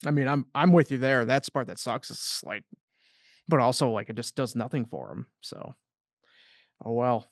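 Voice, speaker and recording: A man's voice: mid-range voice, expressive, moderate pace, dry recording, clean studio sound. A man co-hosting a sports podcast, talking casually and loosely with lots of fillers. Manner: loose and rambling, heavy on fillers.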